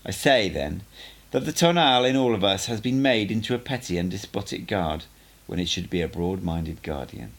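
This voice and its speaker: An assured, cutting sorcerer teacher, as a male audiobook reader plays him, lecturing on mysteries. The voice is low and gravelly with strongly varied intonation, and every point is laid out deliberately and emphatically. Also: expressive. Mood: instructive, grave. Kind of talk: audiobook character dialogue.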